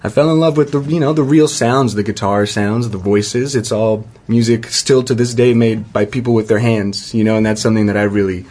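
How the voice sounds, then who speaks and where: A man's voice: lowish voice, steady tone, brisk, hardly any pauses, a little mellow. A man in his twenties from California with an American accent, chatting casually as a band member in a radio studio. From Los Angeles, California, USA. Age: 20s. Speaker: country band member, interview guest. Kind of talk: casual chat.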